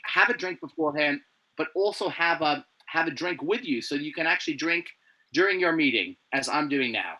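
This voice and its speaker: A man being interviewed over a video call. He talks in a playful, animated way with many restarts. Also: on the high side, brisk, low-quality recording. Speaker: guest, presenter coach. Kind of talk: remote interview chat.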